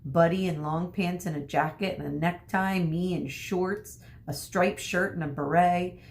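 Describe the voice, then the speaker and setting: A woman speaking as an elementary school teacher, reading a story aloud to children and pausing to explain things. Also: on the low side, steady tone. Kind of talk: read-aloud lesson for children.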